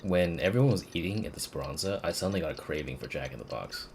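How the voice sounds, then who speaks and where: Very low-voiced, animated male game streamer. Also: fast, slight background noise. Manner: playful, profane, mutters reactions. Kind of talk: casual live playthrough commentary. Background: game music.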